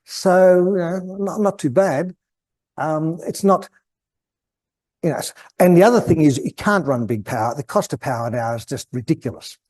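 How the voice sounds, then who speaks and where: An Australian man giving an informal technical presentation, hesitant and halting as he thinks aloud. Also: fairly high pitch, darkish tone. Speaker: guest presenter at an amateur radio club.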